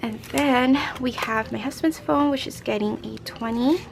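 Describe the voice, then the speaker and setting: A young woman with a hoarse, raspy voice and a bit of a gravelly edge, talking in a loose, rambling way as she counts bills aloud. Her voice sits a little on the high side, with faint room hiss behind her. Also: normal speed. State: hoarse from a late night. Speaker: budgeting vlogger. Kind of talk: casual chat while stuffing cash envelopes.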